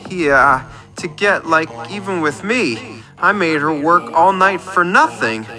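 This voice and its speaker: Man acting an animated, earnest, oversharing oddball. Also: a little high, slow pace, over music. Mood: earnest, dreamy. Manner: earnest, rambling, oddly detailed. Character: earnest, lonely, oversharing male oddball client. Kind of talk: scripted radio comedy monologue.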